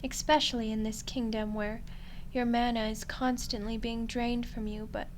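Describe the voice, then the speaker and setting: A woman playing an ancient female demon in a roleplay ASMR drama, smug, condescending and coolly menacing, speaking deliberately with dramatic pauses. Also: on the high side, level tone, slight background noise, close to an everyday voice. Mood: musing, revealing.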